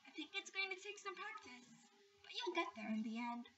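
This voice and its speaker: A woman voicing a kindly grown-up triceratops in a storybook, gentle and reassuring. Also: very high voice, very animated, a little fast, pitched above the performer's own voice, heavily acted. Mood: soothing. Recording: distant, muffled home recording with faint noise.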